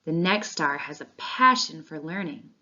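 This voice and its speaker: A woman voicing an encouraging schoolteacher making proud, deliberate announcements in a children's storybook, on a lo-fi recording. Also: deep voice, lively intonation, sharp tone, heavily acted.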